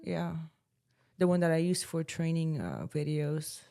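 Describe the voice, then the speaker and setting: A woman's voice: low voice, sing-song, unhurried, gravelly, dark tone. A woman in her late thirties co-hosting a casual podcast, telling personal stories candidly and laughing often. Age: 30s. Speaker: podcast co-host.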